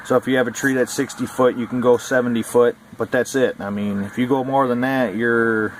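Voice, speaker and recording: A man of ordinary pitch talks through a hobby topic in an off-the-cuff, rambling way, circling back and repeating points with plenty of fillers. A faint hum of a vehicle or ambience sits under the voice. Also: steady tone, brisk, poor audio. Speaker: CB radio hobbyist vlogger. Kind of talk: casual explainer talk.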